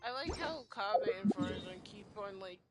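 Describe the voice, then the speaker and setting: A woman's voice: mid-range voice, expressive, normal speed, over loud music. A young woman commenting casually while playing a game, in a playful, slangy, rambling manner. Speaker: gameplay streamer. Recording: loud noise behind the voice.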